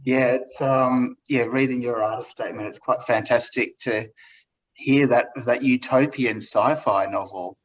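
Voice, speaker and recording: A man's voice: medium pitch, steady tone, measured pace, slight background noise, low-quality recording. A man hosting an artist talk as gallery director, chatting in an easygoing and encouraging way with frequent fillers. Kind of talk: relaxed interview conversation.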